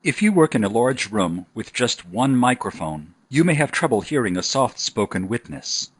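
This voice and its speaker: A man narrating a scripted software tutorial in an instructional style. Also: medium pitch, sing-song, moderate pace, breathy edge, no echo.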